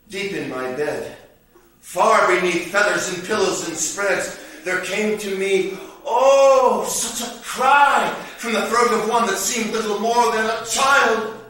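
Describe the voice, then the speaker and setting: Guy acting a haunted theatrical storyteller doing a monologue, sardonic, bright forceful voice, really echoey and kinda far from the mic. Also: sits high, full character voice. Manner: theatrical, deliberate, with long pauses and sudden outbursts. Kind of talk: dramatic stage monologue storytelling. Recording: roomy echo, distant mic, faint noise.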